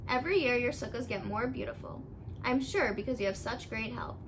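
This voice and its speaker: A woman's voice: fairly high pitch, brisk, noisy background, close to an everyday voice. A woman reading the part of a cheerful older neighbor wife in a storybook, full of admiration. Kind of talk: storybook character read aloud.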